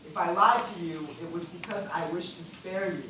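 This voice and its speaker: A man on stage playing an anguished, bitter gentleman survivor of a shipwreck, declaiming in melodramatic style. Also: high voice, moderate pace. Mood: grimly resigned. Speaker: stage actor. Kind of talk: stage play dramatic monologue. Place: theatre stage, recorded from afar. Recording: very echoey stage, distant mic, loud noise.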